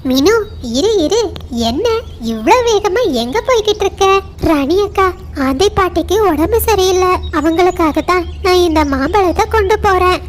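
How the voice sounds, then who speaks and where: A woman's voice: very high-pitched, expressive, normal speed, slight background noise. A woman voicing a small, talkative female bird in a children's cartoon. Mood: chatty.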